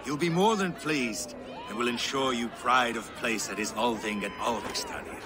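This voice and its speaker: Calm, formal Viking envoy played by an actor. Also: male voice, medium pitch, normal speed, natural voice. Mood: formal, assured. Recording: outdoor crowd and noise behind.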